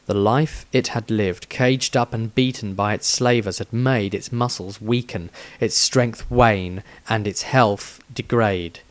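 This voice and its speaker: A man's voice: lowish voice, fairly even intonation, slow, few pauses. A man reading his own fantasy story aloud as a scripted, dramatic narration with a theatrical delivery.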